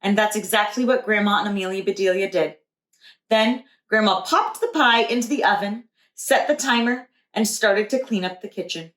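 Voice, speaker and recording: A woman's voice: medium pitch, moderate pace, fairly bright tone. A woman, a preschool teacher, reading a children's storybook aloud. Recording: echoey room.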